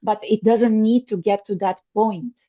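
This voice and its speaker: A woman's voice: mid-range voice, fairly even intonation, a little slow, lo-fi sound. A woman from Bulgaria hosting a conversational interview, speaking earnestly with frequent fillers and restarts. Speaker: podcast host.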